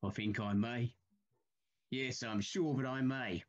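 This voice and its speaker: A man's voice: medium pitch, steady tone, takes it slowly, muffled recording, close to an everyday voice. A male actor playing a guarded, solitary Victorian signalman in an audio drama, speaking in a terse, subdued, haunted way. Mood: wary, suspicious.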